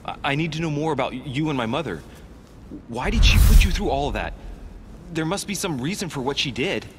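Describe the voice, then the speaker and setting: An actor playing an earnest, courteous gentleman in a video game drama, polite and measured, with a concerned manner. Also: on the high side, music in the background, natural voice. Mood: urgent, troubled. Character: earnest, courteous gentleman, searching for his mother.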